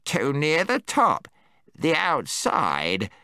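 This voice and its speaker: A timid male gnome, fretful and squeaking when scared, as a man reads him aloud. The voice leaps wildly between low mutters and high squeals. It sounds dry and close, with no room around it. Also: huge pitch swings, a little slow, a bit bright, no room sound, full character voice. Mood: frightened. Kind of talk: audiobook character voice.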